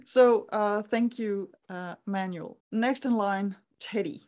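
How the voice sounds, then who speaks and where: A woman hosting a podcast, introducing her guests in a measured way with frequent fillers. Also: medium pitch, a little mellow. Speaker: podcast host.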